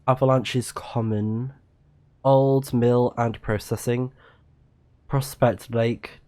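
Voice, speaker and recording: A man doing low-key, musing live commentary on a game he is playing, sometimes reading out what is on screen. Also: a little mellow. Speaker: game streamer. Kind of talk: let's play commentary, reading screen text.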